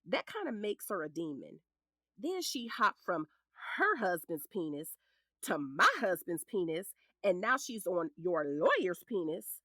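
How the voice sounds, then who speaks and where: An actress with an American accent playing a sharp-tongued, protective middle-aged lawyer and scornful ex-wife in an audio drama, blunt and commanding. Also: female voice, lowish voice, very animated, a little slow, no room sound, clean studio sound, heavily acted. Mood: wry scorn.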